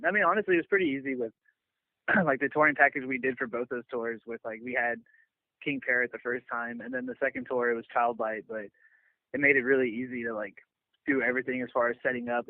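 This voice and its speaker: A man being interviewed as a touring bassist, chatting casually and loosely in a laid-back way over a lo-fi phone line. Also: dry recording. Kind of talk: casual chat.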